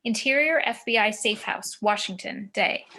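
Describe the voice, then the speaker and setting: A woman's voice: mid-range voice, moderate pace, vocal fry, pushed and bright. A woman giving scripted narration of screenplay action and scene directions at a table read. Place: remote video call.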